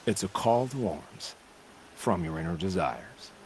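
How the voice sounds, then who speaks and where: Low-voiced, softly menacing ex-soldier. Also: male voice, animated, slight background noise. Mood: calm, sly. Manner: soft-spoken, measured, philosophical. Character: calm, menacing male bandit-killer, ex-soldier with a taste for killing. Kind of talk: video game voice acting.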